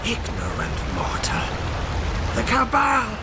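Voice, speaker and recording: A man's voice: very high-pitched, wildly swooping pitch, slow pace, exaggerated voice. An actor playing an arrogant cult henchman in a video game, menacing and declamatory, scornful of mortals. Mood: haughty, fanatical. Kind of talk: video game cutscene acting. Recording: clearly noisy game ambience behind.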